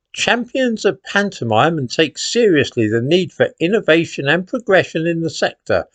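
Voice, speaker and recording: A man's voice: fairly high pitch, no echo. An older man with an English accent delivering an opinion monologue in a sardonic, indignant manner, leaning on rhetorical questions. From England. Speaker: commentator, host.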